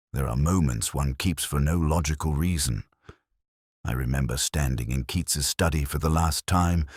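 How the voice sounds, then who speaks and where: Really deep male voice reading a detective story, measured and reflective, moves around in pitch, dry close sound. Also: no echo. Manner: measured, literary, reflective delivery. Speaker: narrator. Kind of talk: scripted first-person detective story narration.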